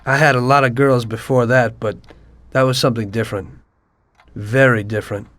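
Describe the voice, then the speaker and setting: An actor playing an easygoing, loyal mobster, understated and wry, in a video game. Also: male voice, mid-range voice, steady tone, a little fast, fairly bright tone, dry recording, natural voice. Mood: reflective. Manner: laid-back, understated, wry. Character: easygoing, loyal male mobster, reflective narrator. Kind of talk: video game cutscene acting and narration.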